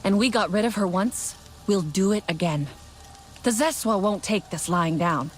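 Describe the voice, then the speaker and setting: An actress voicing a steady, caring young warrior woman in a video game. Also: medium pitch, moderate pace, soft background music, lightly acted. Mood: firm.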